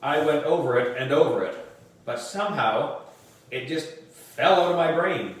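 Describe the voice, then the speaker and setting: A man reading a children's story aloud in an animated way, with dramatic emphasis. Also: on the high side, moderate pace. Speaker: audiobook reader for a book club. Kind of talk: expressive children's storybook narration. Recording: roomy echo, distant microphone.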